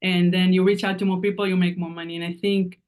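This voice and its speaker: A woman with a Hebrew accent, a native Hebrew speaker, asking a question on a video call and searching haltingly for her words. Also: fairly low pitch, little pitch movement, darkish tone. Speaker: participant on a coaching call.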